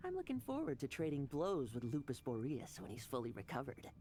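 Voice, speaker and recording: Cocky young fighter, very animated voice, casual and blunt, game dub. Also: medium pitch, a little fast, a little hiss or hum. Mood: eager. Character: eager young warrior, blunt and fight-loving.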